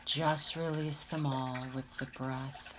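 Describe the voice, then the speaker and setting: A woman narrating a guided meditation in a soothing manner, with short phrases and long pauses. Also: extremely low pitch, steady tone, noisy background.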